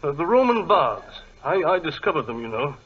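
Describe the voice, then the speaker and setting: An actor playing a nervous, excitable British gentleman archaeologist who stammers and fusses, in 1940s radio style. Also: fairly high pitch, moderate pace, slightly rough. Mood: agitated. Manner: stammering, fussy, repeating himself. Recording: dull old radio broadcast recording.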